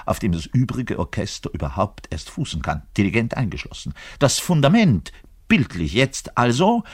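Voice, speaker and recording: An actor playing a garrulous, self-important double bass player in his thirties, delivering a digressive radio play monologue straight to the listener with halting self-corrections. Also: breathy edge, full character voice. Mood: emphatic, lecturing. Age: 30s. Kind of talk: conversational theatrical monologue addressed to the listener.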